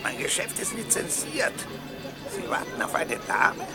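A man's voice: fairly high pitch, wildly swooping pitch, a little slow. An actor playing a talkative, mocking male violet seller in a 1960s German radio play, with theatrical, sing-song hawker's cries. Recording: 1960s radio recording, music behind.

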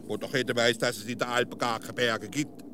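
A man's voice: mid-range voice, steady tone, a little fast, background noise, natural voice. A man with a Swiss German accent playing a stubborn, muddled, argumentative village philosopher in a comic radio play. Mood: muddled, grudging.

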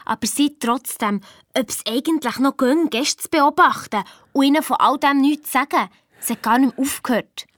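High-voiced Swiss German girl detective. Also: normal speed, dry recording, studio quality. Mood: excited. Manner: lively, colloquial retelling, quoting others. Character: eager, chatty girl detective, child of hotel owners. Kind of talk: first-person storytelling in a children's audio drama.

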